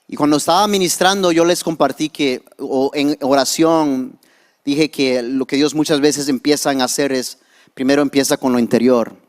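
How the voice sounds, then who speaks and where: A man's voice: mid-range voice, steady tone, normal speed. A young male pastor, a native English speaker, preaching a sermon with casual asides and restarts.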